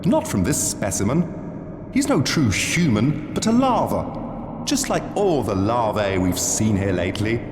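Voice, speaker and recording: An actor playing a mockingly polite, condescending theatrical stage director in a video game, drawling with dramatic pauses. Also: male voice, lowish voice, big pitch swings. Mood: disdainful. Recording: long echoing hall reverb, faint noise.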